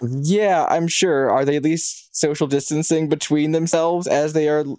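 A man's voice: fairly high pitch, fairly even intonation, measured pace, darkish tone, no echo. A man co-hosting, moving between a news update and casual banter in a wry, jokey, colloquial way. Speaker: co-host.

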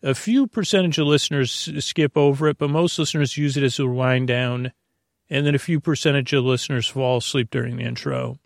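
Male bedtime podcast host, gravelly fry, close dry sound, halting and digressive. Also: mid-range voice, steady tone, no echo. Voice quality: creaky. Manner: meandering, halting, self-interrupting, goes off topic. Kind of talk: rambling bedtime podcast monologue.

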